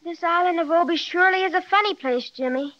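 A woman's voice: extremely high pitch, fast talker, no echo. A woman playing a timid, polite young girl in an old-time radio drama. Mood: worried. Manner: earnest, wide-eyed. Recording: dull 1930s transcription, dry.

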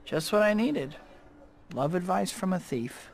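A man's voice: sits high, animated, measured pace, vocal fry, exaggerated voice. A man playing an earnest, boyish young superhero with a wry, troubled air in a video game cutscene. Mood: wry, resigned. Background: loud music.

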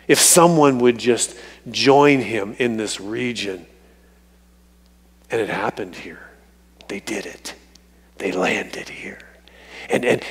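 A man in his fifties preaching a sermon to a congregation in an animated way, repeating phrases for emphasis. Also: medium pitch, vocal fry. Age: fifties, fifty-four. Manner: animated, emphatic repetition for effect. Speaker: guest preacher. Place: large church sanctuary, on stage before congregation. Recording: reverberant church hall.

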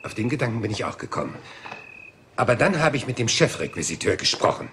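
An actor playing a dogged middle-aged police inspector in a radio crime drama, patient and musing, circling back to small details. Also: male voice, mid-range voice, sing-song, fast, noisy background, close to an everyday voice. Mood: calm.

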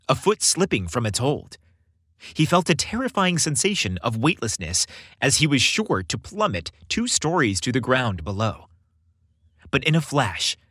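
A man narrating a scripted podcast story in an expressive, audiobook-like way. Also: mid-range voice, breathy edge, dry recording, clean studio sound.

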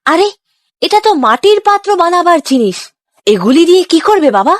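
Woman voicing an earnest high-pitched little village boy. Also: very animated, a little fast, no room sound, studio quality. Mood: hesitant. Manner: earnest, childlike. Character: earnest, clumsy, sensitive village boy eager to help. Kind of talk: Bengali moral cartoon dialogue.

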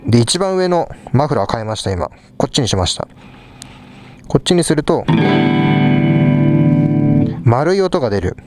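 A man's voice: medium pitch, sing-song, normal speed, long pauses. Young man chatting over live guitar. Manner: chatty, explaining while playing instruments. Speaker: vlogger. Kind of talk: casual explanatory vlog. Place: small music rehearsal studio. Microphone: small clip mic on a head-mounted camera. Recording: live drums and guitar behind voice.

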